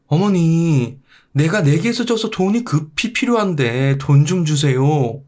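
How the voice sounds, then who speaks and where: A man's voice: on the high side, lively intonation, unhurried, a little mellow, pitched above the performer's own voice. A man playing a dutiful son in a folktale, speaking politely with earnest pleading. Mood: urgent.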